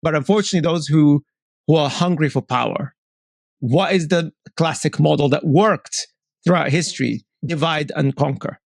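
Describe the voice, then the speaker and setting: A man's voice: on the high side, normal speed, vocal fry, no room sound. A man hosting a podcast interview, speaking bluntly and with animation, often repeating words as he restarts sentences. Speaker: podcast host. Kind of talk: casual interview talk.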